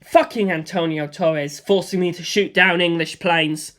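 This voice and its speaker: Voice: mid-range voice, fairly even intonation, unhurried, fairly bright tone. A narrator telling a first-person action story, voicing gunfire and explosions aloud. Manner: vivid storytelling with spoken sound effects for gunfire and explosions.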